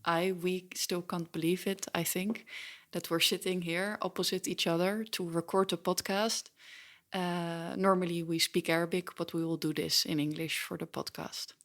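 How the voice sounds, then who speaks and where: A woman journalist hosting a podcast interview, asking gentle prompting questions in English as a second language. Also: lowish voice, little pitch movement, normal speed. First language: Dutch. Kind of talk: conversational interview.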